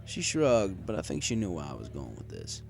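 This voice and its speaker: Low, expressive male story narrator. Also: moderate pace, vocal fry, soft background music. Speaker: story reader. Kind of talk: first-person scripted narration, audiobook-like.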